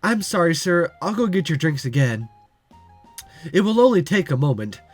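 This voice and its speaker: A man reading the lines of a polite, gently teasing young waitress in a visual novel. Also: a little high, sing-song, moderate pace, faint music behind. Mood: polite, unbothered. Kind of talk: visual novel dialogue read aloud.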